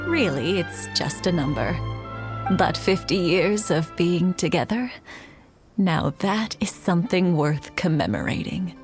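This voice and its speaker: Slow, kindly old wife. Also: female voice, lowish voice. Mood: wistful. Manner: unhurried, tender, expressive. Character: kindly elderly wife, playful, sentimental, gracious. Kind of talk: English video game voice acting. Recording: game audio with background music. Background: game music.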